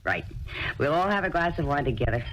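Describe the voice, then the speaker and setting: An actress in her thirties playing a gentle, dreamy woman poet who lives in a commune, in a 1970s radio drama, speaking softly and coaxingly. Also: deep voice, sing-song, fairly quick, slight background noise, poor audio. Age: 30s. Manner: soft, coaxing and warmly insistent.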